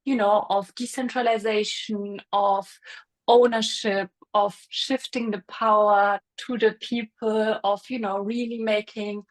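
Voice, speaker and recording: German-accented woman, medium-pitched voice. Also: little pitch movement, measured pace. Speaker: interview guest, startup founder. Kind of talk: casual interview talk.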